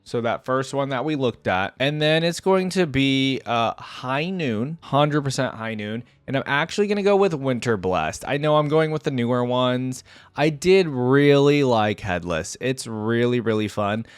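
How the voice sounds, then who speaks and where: A man's voice: medium pitch, animated, unhurried, clear, resonant tone, dry recording. A man giving casual, informal reaction commentary as a video host, thinking aloud and at times reading out.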